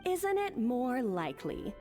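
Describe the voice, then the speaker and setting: A woman's voice: on the high side, huge pitch swings, unhurried, dark tone, full character voice. A woman voicing a haughty, scheming rival female chef in a video game, taunting and defiant. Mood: smug, taunting. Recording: game music behind the voice.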